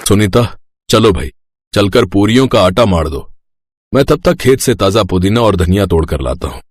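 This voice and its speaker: A man voicing an honest, humble male panipuri seller in an animated village story, gentle and pleading. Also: lowish voice, moderate pace, no room sound. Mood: earnest.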